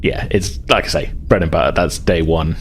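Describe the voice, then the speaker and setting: A man acting as game master, narrating a tabletop game casually in a halting, explanatory way. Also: deep voice, slight background noise.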